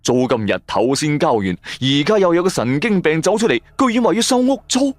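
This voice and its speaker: Man playing a hot-tempered, high-pitched angry husband. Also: very animated, fairly quick, no echo, faint music behind. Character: hot-tempered poor husband, scornfully cursing a stranger. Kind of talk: Cantonese radio horror drama.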